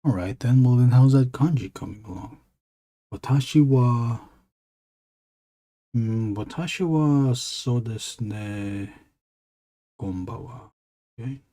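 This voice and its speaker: A man with a husky voice, chatting casually with his live-stream viewers as he draws, rambling and asking them questions. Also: medium pitch, slow pace, long pauses, mellow. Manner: rambling, talks to chat readers. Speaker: illustrator streaming his drawing. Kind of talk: casual live-stream chat while drawing.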